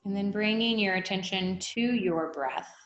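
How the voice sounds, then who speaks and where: A woman guiding a meditation, speaking in an unhurried, gently instructive way with long pauses. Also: medium pitch, muffled recording, faint music behind. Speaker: meditation guide. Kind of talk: guided meditation, body scan. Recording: echoey room.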